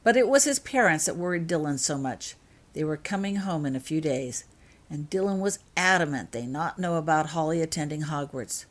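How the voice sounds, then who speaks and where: A woman's voice: low-pitched, lively intonation, normal speed. A woman reading her own fan fiction aloud as scripted storybook narration.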